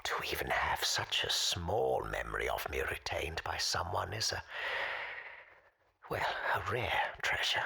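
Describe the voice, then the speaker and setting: A forgotten gentleman in an audio drama, very husky and breathy, with pitch that swoops widely. He speaks in formal, measured sentences, erudite and wistful. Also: sing-song, whispered, full character voice. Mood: wistful, wry. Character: forgotten, wistful, erudite man calling a radio show. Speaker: recurring caller on a radio show. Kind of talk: audio drama monologue, storytelling.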